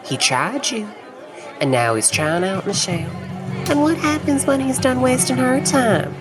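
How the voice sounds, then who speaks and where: Slow, swooping, snide mother played by an actress. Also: fairly high pitch, animated. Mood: scornful. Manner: theatrical, biting sarcasm. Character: disapproving, snide mother of adult daughters at a wedding. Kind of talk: audio drama acting. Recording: music and crowd chatter behind. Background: music and crowd.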